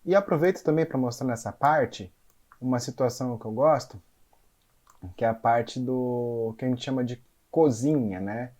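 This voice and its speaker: Music teacher dude walking through a score, chatty, keeps asking if you got it, mid voice. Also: male voice, medium pitch, moderate pace, warm tone. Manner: casual, explanatory, checks in with listeners. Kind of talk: explaining a score in an educational concert video.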